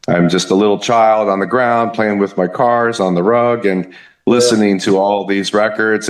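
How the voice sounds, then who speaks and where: A man in his fifties from the Southern United States with a Southern US accent, chatting as a musician guest in an interview, relaxed and hesitant with many fillers. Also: lowish voice. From Southern United States (Kentucky, Memphis). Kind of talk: casual interview chat. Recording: echoey room.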